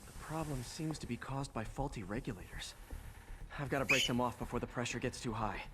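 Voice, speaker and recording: An actor playing a young male superhero who talks in quick, self-assured quips, heard as game audio with music behind. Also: mid-range voice, noisy background, natural voice. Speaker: game character. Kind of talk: video game voice acting.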